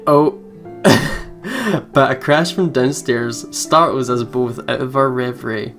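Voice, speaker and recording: A man hosts a let's play in a medium-pitched voice. He reads the story aloud expressively and drops in playful asides, with soft guitar game music in the background. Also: fairly even intonation, slow.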